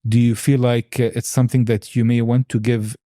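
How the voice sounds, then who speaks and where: A man's voice: mid-range voice, level tone, fairly quick, warm tone, no echo, studio quality. A man hosting a podcast in a casual, informal and rambling interview chat. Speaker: podcast host.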